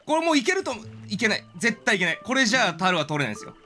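Bright, high-pitched man streaming a game. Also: sing-song, rapid-fire, faint music behind. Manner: reacts aloud, talks to the game. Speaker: streamer playing a video game. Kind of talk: casual game commentary with dramatic line readings.

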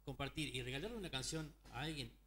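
Polite, quick-speaking man from Uruguay. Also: medium pitch, lively intonation. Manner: polite, measured, formal. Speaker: mariachi singer, guest. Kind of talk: TV interview chat. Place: TV studio. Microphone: handheld mic.

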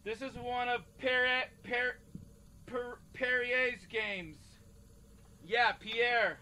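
A man's voice: very high voice, fairly even intonation, extremely slow, bright, forceful tone, heavily acted. A man playing a casual casting director who stumbles and repeats himself in improvised comedic game acting. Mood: offhand, businesslike. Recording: noisy cassette-tape recording.

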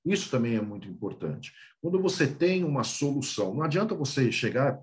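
A Brazilian man gives a business talk from a home office over a video call. His pitch moves a great deal, and he often repeats small words while he finds the next point. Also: brisk. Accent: Brazilian Portuguese. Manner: lively, repeats small words, clears throat. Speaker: guest speaker.

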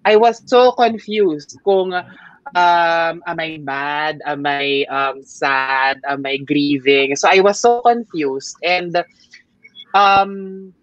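A woman's voice: deep voice, slow pace, dry recording. A woman with a Filipino accent, speaking reflectively and hesitantly on a video call panel. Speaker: panel guest, social media creator. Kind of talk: casual panel discussion. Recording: dull dry lo-fi video call audio.

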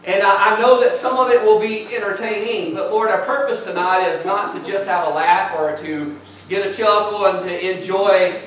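A man's voice: high-pitched, normal speed, slight background noise. Man in an echoey church from afar. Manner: relaxed, folksy, with frequent uh fillers. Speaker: pastor hosting a church program. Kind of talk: church announcements and prayer. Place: large church sanctuary with a stage. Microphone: far camera, speaking from the stage. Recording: echoey sanctuary, picked up from far off.

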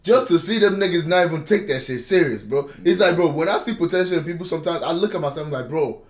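A man's voice: fairly high pitch, lively intonation, fast. A man chatting casually and emphatically in slang as he reacts in a living room. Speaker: music video reactor. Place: living room couch, indoors. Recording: roomy echo, faint music behind.

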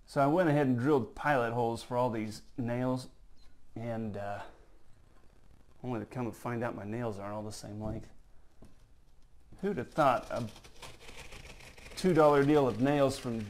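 A man's voice: medium pitch, measured pace, long pauses. A man giving a casual, folksy how-to demonstration in his workshop, joking at his own expense as he works. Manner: folksy, self-joking, talks while working. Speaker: model diorama builder. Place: workshop, indoors.

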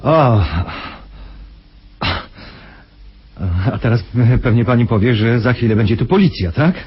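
A very husky, rough voice in a muffled radio drama belongs to a scheming kidnapper. He is condescending and sardonic, with urbane manners and lively, swinging intonation. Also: mid-range voice, brisk. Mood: guarded. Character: scheming, condescending male kidnapper posing as employer. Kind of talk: radio play acting. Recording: muffled old radio play recording.

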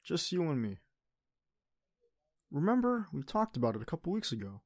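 A man's voice: on the high side, wildly swooping pitch, normal speed, darkish tone, no room sound, full character voice. Affectionate young boyfriend, acted by a man, close and dry. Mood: playful, coaxing. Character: affectionate teenage boyfriend, playful and tender. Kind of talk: ASMR boyfriend roleplay acting.

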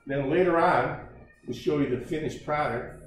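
A man's voice: a little high, normal speed, soft background music. An older man hosting a casual home cooking show, joking and chatting as he cooks in a large kitchen, recorded by a camera at a distance. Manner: folksy, jokey, chatty while cooking. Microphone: camera mic at a distance. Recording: echoey kitchen, voice from off-mic.

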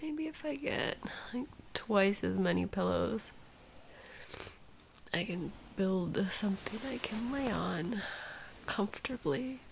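A woman playing a sick, sleepy, sweet and whiny girlfriend in an intimate ASMR roleplay, breathy and often dropping into a whisper, with drowsy sighs. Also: mid-range voice, animated, a little hiss or hum, low-quality recording. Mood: drowsy, wistful. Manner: drowsy, drawn-out, sighing, intimate.

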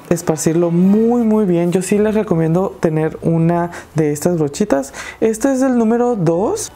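Young mexican woman doing a diy craft tutorial, chatty, kinda low warm voice. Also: a little hiss or hum. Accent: Mexican Spanish. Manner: chatty and encouraging, addresses viewers directly. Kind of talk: casual DIY tutorial talk.

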